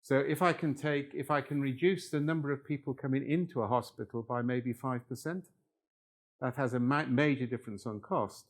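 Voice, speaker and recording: A man's voice: medium pitch, steady tone, normal speed. An older man from Wales giving a live workshop lecture, talking it through conversationally and checking in with the room. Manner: conversational, checks in with "yeah?" and "right?".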